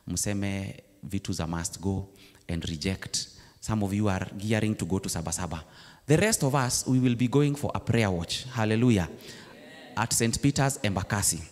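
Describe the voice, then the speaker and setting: A man's voice: lowish voice, darkish tone. A man with a Kenyan accent preaching a sermon in a church, switching between English and Swahili slang. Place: church hall. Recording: long church reverb.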